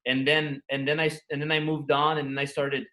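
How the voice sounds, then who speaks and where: A man's voice: fairly high pitch, little pitch movement, fairly quick, sharp tone. A man from Los Angeles whose first language was Spanish, frankly telling his life story in a loose, earnest way as an interview guest. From Los Angeles, USA. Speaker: entrepreneur guest.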